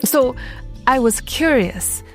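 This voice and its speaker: A woman hosting a podcast, narrating a scripted episode in a deliberate, measured way. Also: high voice, lively intonation, faint music behind. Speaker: podcast host.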